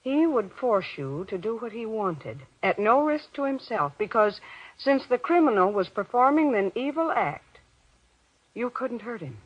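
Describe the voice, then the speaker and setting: A woman playing a clear-headed doctor's wife in golden age radio drama, measured and formal. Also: on the high side, very animated, exaggerated voice. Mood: composed, grave. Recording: dull lo-fi old radio recording.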